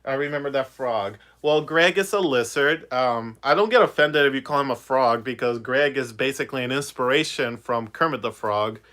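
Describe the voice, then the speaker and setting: A man's voice: mid-range voice, moderate pace, few pauses, a bit bright. A young man from Puerto Rico, a puppeteer, chatting casually on a phone livestream with many fillers. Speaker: puppeteer vlogger. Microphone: phone on a ring light.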